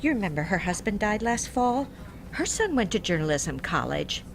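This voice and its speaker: An actress playing a rambling, apologetic employee, in a hesitant, folksy manner for an audio drama. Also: female voice, medium pitch, very animated, moderate pace, creaky, noisy background. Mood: hesitant, confiding.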